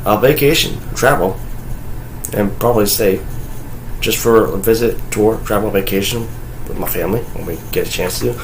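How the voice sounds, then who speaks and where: A man raised in North Carolina, recording a casual home vlog, rambling with many halting restarts and blunt, profane remarks. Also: lowish voice, slight background noise, low-quality recording. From North Carolina, USA (raised there, born in Okinawa, Japan). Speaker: vlogger. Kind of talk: casual ranting monologue.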